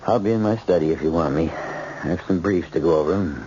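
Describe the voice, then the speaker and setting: A man's voice: low voice, noisy background, lightly acted. An actor as a hesitant middle-aged father, muffled radio. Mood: troubled, subdued. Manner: halting, stammering. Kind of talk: old-time radio acting. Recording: muffled old radio recording.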